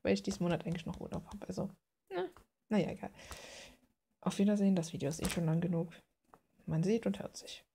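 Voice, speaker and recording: A woman with a lowish, creaky voice chats informally about her own work. She rambles along in a self-deprecating, casual way. The recording has faint background noise. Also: fairly even intonation, fast talker, pauses often, warm tone. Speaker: artist and video creator. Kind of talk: casual chat over finished drawing.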